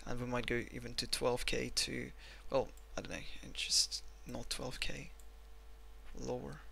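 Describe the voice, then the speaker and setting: A man's voice: medium pitch, flat delivery, unhurried, gravelly, sharp tone, a little hiss or hum. A man with a Russian accent, talking through a chart analysis in a rambling, blunt, self-mocking way. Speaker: trading analyst. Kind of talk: screen-recorded chart analysis.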